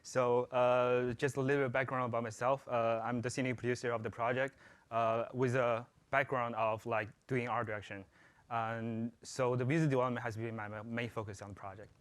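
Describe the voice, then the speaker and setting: A man's voice: mid-range voice, level tone, fairly quick, clean tone. A man with a Chinese accent, a jet-lagged senior producer presenting at a conference, often saying "like" and stumbling on starts. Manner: says "like" a lot, stumbling starts. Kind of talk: conference talk.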